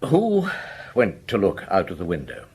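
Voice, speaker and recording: A man playing a patient, probing chief inspector in a radio drama, questioning deliberately with musing hums. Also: low voice, wildly swooping pitch, a little mellow, slightly rough, exaggerated voice. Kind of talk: radio drama acting and first-person narration.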